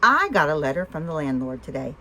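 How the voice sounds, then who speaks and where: A woman voicing a weary, caring father in a children's storybook, speaking gently and plainly. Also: sits low, very animated, fairly quick, slight background noise, exaggerated voice. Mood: worried, sorry.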